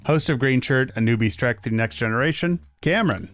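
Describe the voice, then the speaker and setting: A man's voice: medium pitch, a little fast, a bit bright. Theatrical male podcast host, faint music. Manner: theatrical, pun-filled introductions. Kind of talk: scripted show intro.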